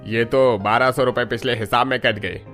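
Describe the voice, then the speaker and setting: A man's voice: mid-range voice, steady tone, normal speed, a bit bright, soft background music, natural voice. Sneering miserly grocer, acted by a man. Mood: sneering, scolding. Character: rude, miserly male grocer who sneers at the poor. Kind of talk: Hindi cartoon story voice acting.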